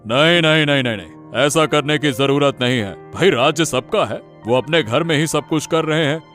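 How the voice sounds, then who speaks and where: A man voicing a fair-minded king in a cartoon moral story, unhurried, paternal and firm. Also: on the high side, fairly bright tone, soft background music, close to an everyday voice. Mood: firm, dismissive. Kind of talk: animated moral story acting.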